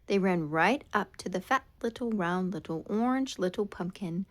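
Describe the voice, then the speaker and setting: A woman reading a children's story aloud in a dramatic, expressive way, drawing out key words. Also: mid-range voice, normal speed. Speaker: storybook reader. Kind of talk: scripted children's storytime narration.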